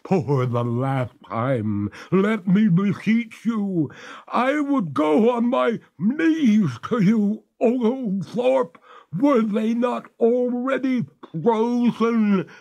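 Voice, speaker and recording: A genteel lady ghost of the water pleads in a high, stretched, imploring voice, as a man reading the story puts her on. Her lines are slow and swoop widely in pitch, with a slightly odd, strained timbre. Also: lively intonation, vocal fry, a little mellow, heavily acted. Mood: pleading.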